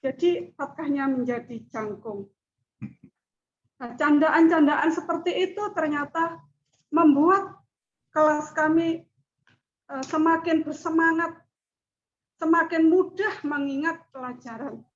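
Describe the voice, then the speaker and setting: A middle-aged woman giving a formal class presentation in measured, deliberate speech. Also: sits high. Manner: slow, measured speech with chanted recitation. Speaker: student presenter. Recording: dull lo-fi audio.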